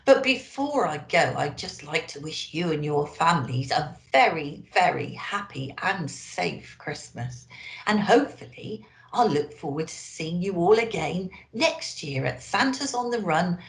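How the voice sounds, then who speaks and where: Slow, animated woman storyteller, echoey room. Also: lowish voice. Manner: theatrical, addressing young listeners. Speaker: children's story reader. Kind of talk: expressive storybook narration for children. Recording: echoey, roomy sound.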